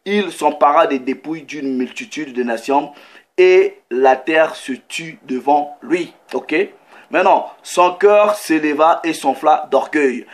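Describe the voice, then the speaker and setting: A man preaching in French, reading scripture aloud and stopping often to check in with listeners and comment. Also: fairly high pitch. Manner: reads scripture slowly, breaks in with "ok" and comments. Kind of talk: sermon with Bible reading.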